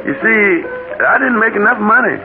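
An actor playing an easygoing, rueful bar pianist in a 1940s radio drama, laconic and wistful. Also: male voice, sits high, lively intonation, a little fast, narrow, tinny audio. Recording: thin, band-limited old radio with music.

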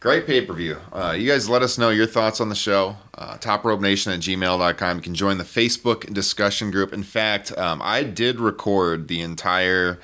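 A hoarse man with a very deep voice that still has a bright edge. He is upbeat and laughs often while hosting. He is in a hotel room. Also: moderate pace, few pauses. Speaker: podcast host. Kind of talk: casual podcast chat.

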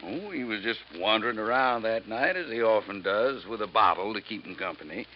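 On a muffled, noisy old radio recording, an actor plays a cocky male fire expert. He is jaunty and emphatic, needling the listener with big swoops of pitch. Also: animated, a little fast, natural voice. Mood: amused, explaining. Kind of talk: 1950s radio drama acting.

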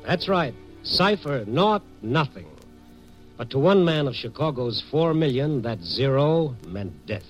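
An actor playing a wry, streetwise American reporter, narrating a 1950s radio noir drama in dramatic style over a musical score. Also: male voice, medium pitch, sing-song, measured pace, music in the background, poor audio. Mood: brooding, wry. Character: hard-boiled, wry male Chicago night-beat newspaper reporter. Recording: vintage 1950s radio broadcast recording.

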